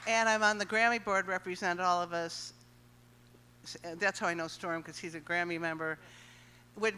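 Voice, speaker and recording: A woman from Chicago, a music supervisor, introducing herself on a workshop panel in an energetic, encouraging way. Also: fairly low pitch, slight background noise. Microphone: handheld mic.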